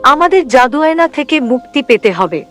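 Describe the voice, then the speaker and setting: A solemn magician queen from a fairy-tale cartoon, acted by a woman. She speaks formally and with resolve in a fairly high voice. Music sits behind her. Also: very animated, moderate pace. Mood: resolute. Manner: formal, determined.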